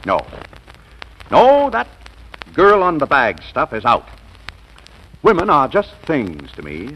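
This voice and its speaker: A man's voice: a little high, huge pitch swings, slow pace, muffled recording, exaggerated voice. An actor playing a suave, bossy, womanizing businessman in a 1940s radio comedy, breezy and self-assured with mock hesitations. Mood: curt, businesslike.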